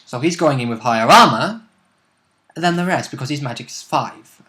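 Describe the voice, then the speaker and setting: A man's voice: mid-range voice, expressive, normal speed, bright, forceful tone. A man chatting casually as a co-host, adding commentary on a tabletop role-playing game.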